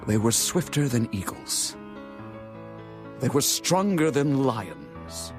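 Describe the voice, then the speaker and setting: A man's voice: medium pitch, sing-song, slow, music in the background. A man playing a solemn, earnest biblical king in a dramatized scripture reading, with declamatory delivery. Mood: grieving.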